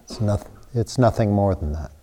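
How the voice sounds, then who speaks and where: A man with a very low, mellow voice guides a meditation. He speaks in short, hushed phrases and leaves generous pauses between them. The tone is dark and relaxed. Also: slight background noise. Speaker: meditation teacher.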